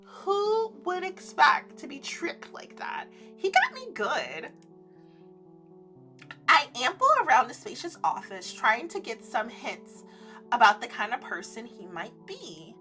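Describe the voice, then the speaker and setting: High voiced lady doing a visual novel playthrough, super animated n playful, music behind her. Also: slow. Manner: playful, effusive. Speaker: streamer playing a visual novel. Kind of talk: expressive read-aloud with casual reactions.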